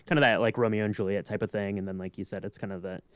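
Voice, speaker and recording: A man on a podcast panel giving his opinions in casual, halting discussion. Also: lowish voice, steady tone, no echo.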